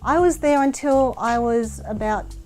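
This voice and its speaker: A middle-aged woman, a former children's TV presenter telling her story in an animated, expressive way. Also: a little high, unhurried, faint music behind. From Australia. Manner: animated, expressive, laughs while telling stories. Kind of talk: lively storytelling in interview. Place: indoor living room by a window.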